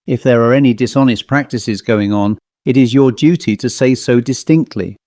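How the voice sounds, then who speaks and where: A man voicing a gentle but firm mistress of a household who presses her servant to speak plainly. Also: medium pitch, normal speed, dry recording, studio quality, natural voice. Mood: grave. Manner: coaxing, then insisting on plain speech.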